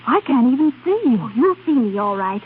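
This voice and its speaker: Eager, chatty Hollywood girl, higher-pitched, vintage radio ad skit, narrow crackly sound. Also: big pitch swings, fairly quick. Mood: excited, admiring. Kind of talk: 1930s radio advertisement skit. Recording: tinny, noisy 1930s radio recording.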